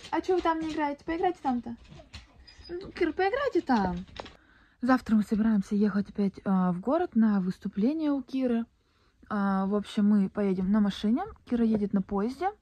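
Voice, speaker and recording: Mellow-voiced young mom vlogging. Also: female voice, a little high, expressive, normal speed, lo-fi sound, slight background noise. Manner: chatty, rambling with fillers and restarts. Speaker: vlogger, mother. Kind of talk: casual home vlog chat. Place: room at home, indoors. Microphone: phone at arm's length.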